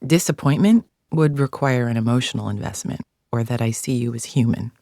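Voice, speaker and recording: A woman's voice: very deep voice, a little fast, gravelly, warm tone, dry recording, clean studio sound, exaggerated voice. An actress playing a haughty alien gladiator in a video game, formal, clipped and menacing as she guides the player with open contempt. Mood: contemptuous. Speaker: mission guide character.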